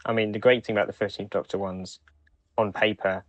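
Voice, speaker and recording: A man's voice: fairly low pitch, little pitch movement, brisk, no room sound, muffled recording. A man co-hosting a podcast, talking casually as he shares news and thoughts. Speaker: podcast co-host. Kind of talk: casual chat, reading out release news.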